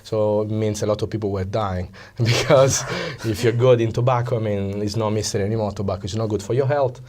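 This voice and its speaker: Low-voiced Italian man, hesitant phrasing. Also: steady tone, a little fast, a little mellow, slight background noise. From Italy. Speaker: interview guest, psychology coach. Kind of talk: conversational interview explanation.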